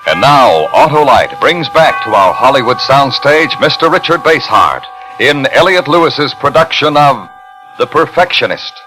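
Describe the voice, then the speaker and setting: A man giving a grand, dramatic 1950s radio program introduction with deliberate showmanship. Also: mid-range voice, very animated, low-quality recording. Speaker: program announcer. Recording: vintage 1950s radio recording, loud music behind.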